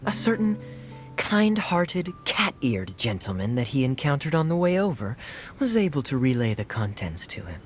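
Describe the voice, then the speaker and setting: A man playing a suave young adventurer in a video game, sly and playfully sardonic, with a smooth theatrical manner. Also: medium pitch, sing-song, moderate pace, natural voice. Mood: wry explaining. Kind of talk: video game cutscene acting. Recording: music playing behind the voice.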